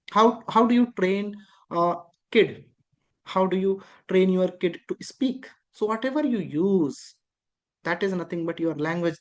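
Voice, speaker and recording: Instructor, patient and repetitive, mid pitch. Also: darkish tone. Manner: patient, repetitive explaining with frequent rhetorical questions. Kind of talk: explaining a lesson.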